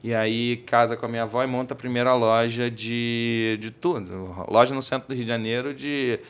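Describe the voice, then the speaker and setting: A man's voice: mid-range voice, steady tone, moderate pace, smooth. A Brazilian man, a guest on a podcast, explaining in a casual conversational way with many fillers, recorded in a podcast studio. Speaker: podcast guest, tech executive.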